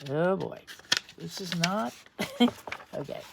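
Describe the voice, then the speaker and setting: Expressive woman storyteller with lively pitch. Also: takes it slowly, gravelly, background noise. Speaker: storybook reader. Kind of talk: children's picture book read-aloud.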